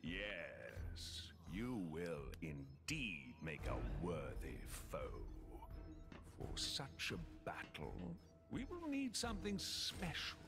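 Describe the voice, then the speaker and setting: A man's voice: sits low, wildly swooping pitch, slow, exaggerated voice. A man playing an arrogant, grandiose conquering supervillain in a video game, savoring his words with mocking pomposity. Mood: mocking confidence. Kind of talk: video game cutscene acting. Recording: loud music behind the voice.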